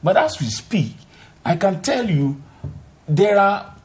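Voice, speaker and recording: A man's voice: high-pitched, big pitch swings, slow, a little mellow. A man, an importer on a TV panel, who starts hesitantly and then argues with rising emphasis. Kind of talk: panel discussion.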